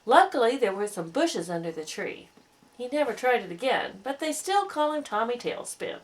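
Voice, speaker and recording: A woman's voice: fairly high pitch, sing-song, moderate pace, low-quality recording. A woman voicing a self-important, talkative owl in a children's story, pompous and long-winded. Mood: pompous, rambling.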